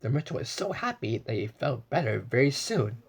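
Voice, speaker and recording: Husky, animated storyteller man. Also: mid-range voice, moderate pace. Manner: expressive, gasps and chimes in with his own asides; stumbles and repeats words while reading. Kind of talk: reading a storybook aloud.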